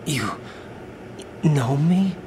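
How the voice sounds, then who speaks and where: An actor playing a confused young captive soldier in a video game, questioning in a bewildered way. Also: male voice, a little high, expressive, drawn-out words, mellow. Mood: dazed. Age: young adult. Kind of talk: dramatic video game acting. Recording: noisy machinery hum behind voice.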